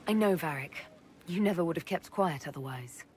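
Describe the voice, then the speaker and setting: Woman playing a composed, lower-voiced heroic leader. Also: expressive, fairly quick, a little mellow, a little hiss or hum, natural voice. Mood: questioning. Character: calm, fair-minded female leader, resolute and reassuring. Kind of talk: video game dialogue acting.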